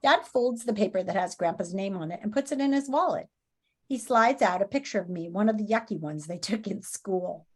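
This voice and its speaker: A woman's voice: mid-range voice, brisk, a little mellow. Woman teacher talking straight to her students, reading out stuff and walking them thru the lesson. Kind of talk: read-aloud and lesson instruction to pupils.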